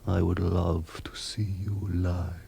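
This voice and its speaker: An old vampire count in a radio play, really slow and deep, gloomy, sinister, poetic and theatrical. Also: male voice, level tone, vocal fry, warm tone, slight background noise, full character voice. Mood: brooding, mournful. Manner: slow, macabre, poetic, savouring each word. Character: ancient male vampire count, melancholy, sinister, grandly theatrical. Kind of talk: 1970s radio play narration.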